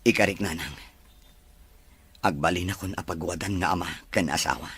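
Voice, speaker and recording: A man with an Ilocano Filipino accent playing an emotional, repentant husband in a family drama, with a husky voice. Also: deep voice, normal speed, fairly bright tone, exaggerated voice. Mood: repentant, tender. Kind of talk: acted family drama dialogue.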